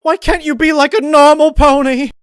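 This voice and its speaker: A man voicing a deranged, sadistic female pony in a horror story, shrill and pleading in frustrated, tearful rage. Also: level tone, normal speed, no echo, clean studio sound. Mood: anguished rage.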